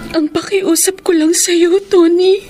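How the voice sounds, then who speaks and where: A woman's voice: extremely high pitch, level tone, a little slow, music in the background. An actress playing a sorrowful, self-sacrificing woman in love, melancholy and emotional, with a Filipino accent, in a radio drama. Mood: tearful devotion.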